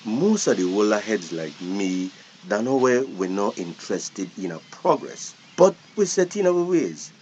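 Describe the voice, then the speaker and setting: Older male fisherman, played by an actor, Belizean Creole accent, lively melodic delivery, practical and seasoned, slightly dull drama recording. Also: on the low side, a little slow, a little hiss or hum. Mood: reflective. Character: seasoned old fisherman, plain-spoken and practical. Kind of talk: naturalistic dialect radio drama acting.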